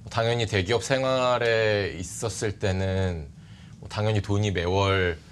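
A man in his thirties giving polite, measured explanations as an interview guest. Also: on the low side, fairly even intonation, a little hiss or hum. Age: 30s. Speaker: interview guest, startup CEO. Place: bright TV studio. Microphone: lapel mic.

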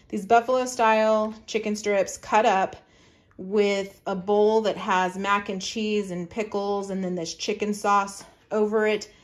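A middle-aged woman chatting casually through a grocery haul, explaining her family's meal plans in a homey way. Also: mid-range voice. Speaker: family lifestyle vlogger.

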